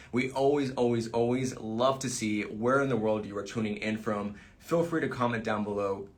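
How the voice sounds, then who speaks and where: A man hosting a live-stream interview, upbeat and enthusiastic, laughing often. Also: on the low side. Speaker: live show host.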